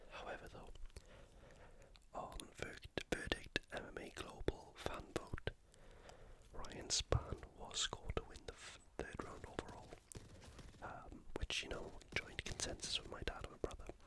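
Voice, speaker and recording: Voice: measured pace, low-quality recording. A video creator giving fight reactions in a whispered ASMR style, with hesitant, conversational phrasing. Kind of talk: whispered ASMR sports commentary.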